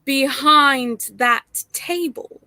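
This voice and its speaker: A woman's voice: high-pitched, very slow, sharp tone, dry recording, heavily acted. A young woman playing a suave, menacing professor and secret spy in a 1930s radio play, genteel and theatrical. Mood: brisk, commanding.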